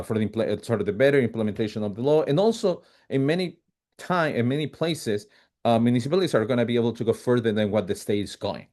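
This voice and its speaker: A man's voice: medium pitch, a little mellow. A man from Chile, giving a policy presentation over a video call with frequent fillers and restarts. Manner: halting, many fillers and restarts, quick bursts. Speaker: advocacy presenter.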